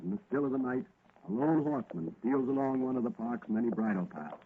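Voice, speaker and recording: An actor narrating a radio serial in a dramatic, suspenseful 1940s style. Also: male voice, medium pitch, animated, fairly quick, close to an everyday voice. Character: melodramatic male radio serial announcer. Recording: noisy, tinny old radio recording.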